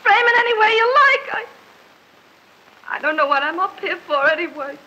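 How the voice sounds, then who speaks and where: A woman's voice: very high-pitched, lively intonation, moderate pace, sharp tone, full character voice. A woman playing a defiant, weary defendant in an old film courtroom drama. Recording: dull old film soundtrack.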